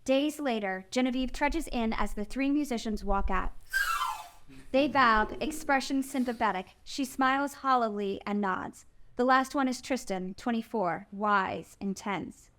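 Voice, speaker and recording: A woman's voice: fairly high pitch, a little slow, a bit bright, a little hiss or hum. A woman narrating the stage directions of a screenplay at a table read.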